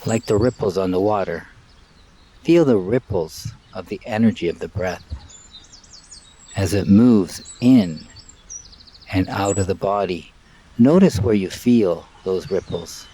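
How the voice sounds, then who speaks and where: A man leading a live guided meditation in a calm, measured way, in short phrases with long pauses, outdoors. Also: low-pitched, husky. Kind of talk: live guided meditation, spoken softly and unscripted. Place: outdoors by water and trees.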